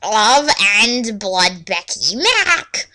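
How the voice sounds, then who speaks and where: A woman voicing an excitable teenage fangirl author, gushing in an exaggerated, mocking dramatic reading. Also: medium pitch, drawn-out words, exaggerated voice. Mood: chirpy.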